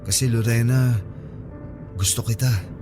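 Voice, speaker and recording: A man's voice: mid-range voice, steady tone, a little slow, close to an everyday voice. An actor playing an earnest, flirty coworker smitten with his friend in a Filipino radio drama, coaxing and soothing. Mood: earnest confession. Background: background music.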